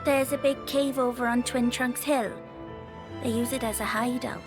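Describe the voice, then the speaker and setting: A woman's voice: a little high, steady tone, moderate pace, over music, close to an everyday voice. A woman voicing a defiant, street-tough young boy thief who talks in a clipped way. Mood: sullen. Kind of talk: video game voice acting.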